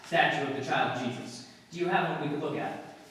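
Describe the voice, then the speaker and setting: A man playing a terse, dry, matter-of-fact police sergeant in 1950s radio drama style, with clipped deadpan delivery. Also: a little high, fairly quick, slight background noise, close to an everyday voice. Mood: polite, terse. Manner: clipped, deadpan questioning and reporting. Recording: very echoey hall, distant mic, music.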